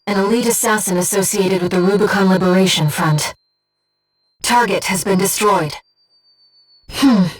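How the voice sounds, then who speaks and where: A measured, disembodied female mission operator. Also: fairly low pitch, recorded from a distance, rough recording. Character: disembodied female mind-voice guiding a mech pilot, calm, devoted. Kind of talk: video game voice acting, mission operator dialogue.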